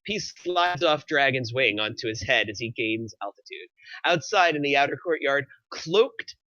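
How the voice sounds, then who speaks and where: Male narrator for a screenplay table read, medium pitch, bright edge, slightly dull recording. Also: animated, normal speed, no room sound. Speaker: narrator reading stage directions.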